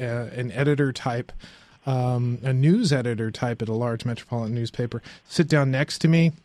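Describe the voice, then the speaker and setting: A man's voice: medium pitch, a little mellow, no echo. Man, podcast host, mid-range voice, casual chat, rambling and self-correcting, very little room sound. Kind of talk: casual conversational interview.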